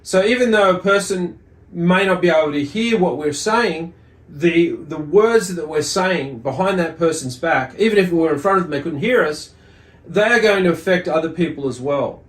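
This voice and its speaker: Higher-voiced man teaching in an echoey room. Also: lively intonation, normal speed. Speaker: religious teacher and host. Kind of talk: explanatory religious lesson, reading and commenting on a text. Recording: echoey, roomy sound.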